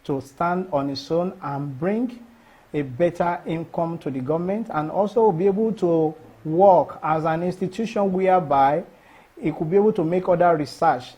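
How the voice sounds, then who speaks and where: Husky high-voiced Nigerian man. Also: dark tone. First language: Yoruba. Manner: earnest, emphatic, repeats and restarts phrases. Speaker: cultural festival organizer. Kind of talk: interview answers.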